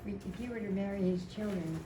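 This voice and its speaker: A woman in her seventies giving an informal, rambling and emotional personal tribute at a memorial, telling stories in a halting way. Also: medium pitch. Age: 70s. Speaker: widow speaking at a memorial. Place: indoor family memorial gathering. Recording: echoey, distant mic, noisy gathering room.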